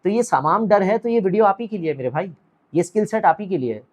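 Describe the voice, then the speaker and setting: A man's voice: high-pitched, expressive, quick pace. A middle-aged man speaks straight to camera as the founder of an academy. He urges the viewer on and keeps putting questions to them in a direct, motivational way. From Pakistan.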